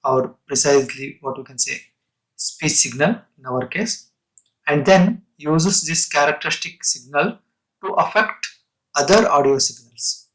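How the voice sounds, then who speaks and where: A man lecturing on technical material in a halting, explanatory way, breaking sentences into short phrases and restating terms. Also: a little high, a bit bright, rough recording.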